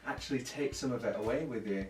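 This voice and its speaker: A man's voice: medium pitch, quick pace. A man, hosting an interview and asking friendly, rambling questions. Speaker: host. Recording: echoey room, distant mic, faint music.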